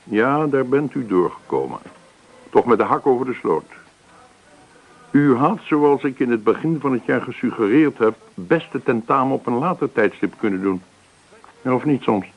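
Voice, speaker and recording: A male actor playing a stern university professor in a radio play, formal and measured as he reproves. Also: medium pitch, animated, poor audio. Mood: stern, reproving.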